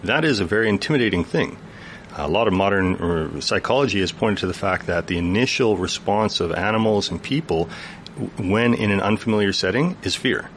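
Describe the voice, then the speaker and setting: A man speaks earnestly as a guest in a radio studio, his voice very low and deep. He explains at length, patient and thoughtful. A faint ambient hum sits behind him. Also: animated, fairly quick. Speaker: youth worker guest. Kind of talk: radio interview conversation.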